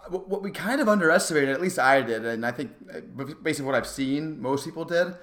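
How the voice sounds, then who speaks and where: A man's voice: on the high side, animated, a bit bright. A man co-hosting a casual sports podcast, talking in an enthusiastic, emphatic way. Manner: enthusiastic, emphatic, quick to laugh. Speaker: podcast co-host.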